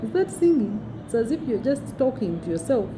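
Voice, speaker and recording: A young adult woman with a big, deep voice tells a personal story to her phone camera in a chatty way with many fillers. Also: very animated, a little fast. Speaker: vlogger. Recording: noticeable background noise.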